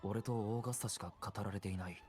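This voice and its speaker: A man as earnest, low-voiced young warrior. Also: fairly even intonation, very fast, darkish tone, close to an everyday voice. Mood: insistent, confused. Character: earnest young male wandering warrior, questioning, insistent. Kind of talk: Japanese video game voice acting. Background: video game music.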